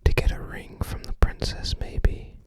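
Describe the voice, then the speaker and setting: Slow, fully whispered ASMR voice. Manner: unhurried, musing, tentative recollection. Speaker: ASMR creator. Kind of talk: whispered ASMR, casual game guide commentary.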